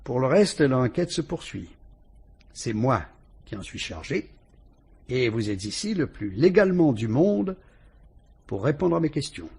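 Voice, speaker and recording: An actor with a French accent playing a calm, shrewd police inspector who politely and ironically probes a suspect in a vintage radio drama. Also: male voice, medium pitch, vocal fry, slightly rough, exaggerated voice. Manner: measured, probing, politely ironic.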